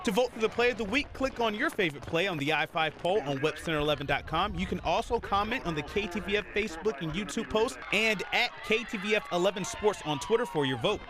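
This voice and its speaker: A man reading a scripted countdown of local sports highlights as a TV sports anchor. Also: animated, a crowd in the background. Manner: brisk countdown delivery.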